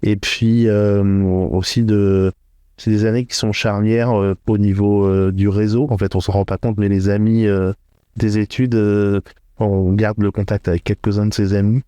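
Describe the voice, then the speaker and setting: A middle-aged man from northern France answering interview questions, thoughtful and hesitant, with frequent filler pauses. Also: lowish voice, little pitch movement, mellow, smooth, dry recording. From France, Hauts-de-France. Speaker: podcast guest, entrepreneur. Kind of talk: interview conversation.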